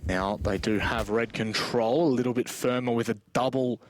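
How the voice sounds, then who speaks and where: A man doing live esports play-by-play commentary, dramatic and animated in his reactions, with casual banter mixed in. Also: medium pitch, normal speed, no room sound.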